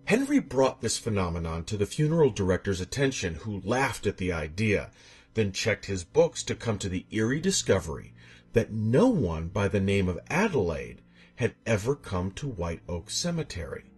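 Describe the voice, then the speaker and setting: Low, husky, expressive male horror narrator. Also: normal speed. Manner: theatrical, suspenseful delivery. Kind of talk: scripted dramatic narration of a horror tale.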